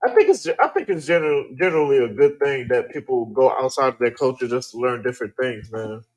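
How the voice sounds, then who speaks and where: A man's voice: mid-range voice, animated, quick pace, darkish tone, rough recording. Laid-back man moderator who stammers. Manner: laid-back, stammers, keeps steering back to topic. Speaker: panel moderator. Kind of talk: live-stream panel chat.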